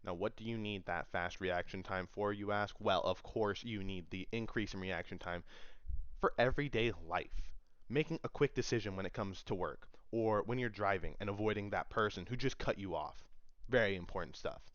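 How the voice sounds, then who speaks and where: A man's voice: on the low side, moderate pace, a bit bright, dry recording, muffled recording. A young man giving an informal speech to an audience in a conversational, emphatic way, repeating phrases for stress. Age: young adult. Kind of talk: informal public-speaking presentation to an audience.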